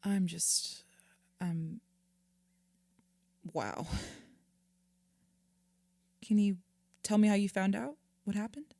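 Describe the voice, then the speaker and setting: An actress playing a composed, sympathetic therapist in an audio drama, measured and gently questioning. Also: female voice, medium pitch, creaky, no echo, exaggerated voice. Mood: attentive, gentle. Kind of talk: audio drama acting, therapy session dialogue.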